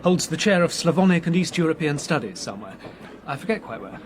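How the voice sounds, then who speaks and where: A man's voice: on the high side, sing-song, fast, a crowd in the background. An actor playing a breezy, flippant young Englishman in a radio drama. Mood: breezy, teasing. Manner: jaunty, flippant. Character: breezy, joking young Englishman, irreverent traveller.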